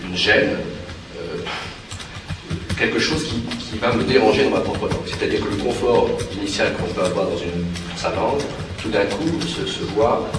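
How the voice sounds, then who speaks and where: Male translator, hesitant and reflective, low voice, distant mic with music behind. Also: normal speed. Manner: hesitant, many fillers and restarts, thoughtful. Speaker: theatre translator. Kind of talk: reflective spoken talk. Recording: very echoey room, from far off, with music behind.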